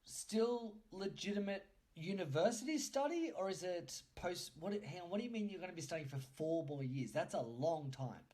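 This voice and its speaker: A man's voice: high voice, lively intonation, moderate pace, hardly any pauses, a little mellow. A man hosting a podcast in a casual, chatty interview style, often interjecting and teasing his guests. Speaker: podcast host.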